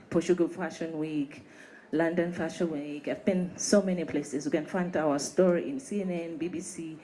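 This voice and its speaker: A woman speaks earnestly at a live panel about bringing designers to international events. Her voice is very low and dark, and she sometimes repeats herself. A faint hiss lies behind her. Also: rough recording. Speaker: fashion program founder.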